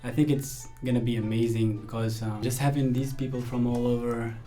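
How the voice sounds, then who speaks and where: A young man from Palestine, a musician, speaking reflectively in a documentary interview with frequent pauses and fillers. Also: mid-range voice, level tone, mellow, faint music behind. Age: young adult.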